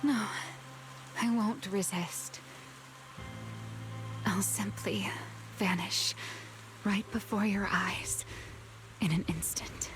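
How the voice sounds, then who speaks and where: A woman playing a weary, secretive, century-old but young-looking game character, speaking slowly in a hushed, halting way. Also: mid-range voice, fairly even intonation, lightly acted. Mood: weary defiance. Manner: slow, hushed, breathy, halting delivery. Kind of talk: dramatic game cutscene voice acting. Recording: dramatic music behind.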